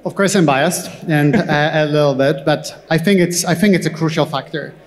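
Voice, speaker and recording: Higher-pitched man speaking in an echoey hall. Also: slight background noise. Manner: halting, repeats words as he explains. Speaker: tech company co-founder, panel guest. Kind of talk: on-stage interview answers. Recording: echoey hall with long reverb.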